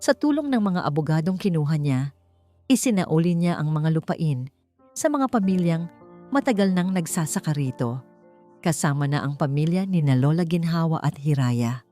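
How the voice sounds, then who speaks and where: A woman's voice: on the low side, a little mellow. A woman reading a scripted story as a dramatic audiobook narrator. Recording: music bed under the voice. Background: background music.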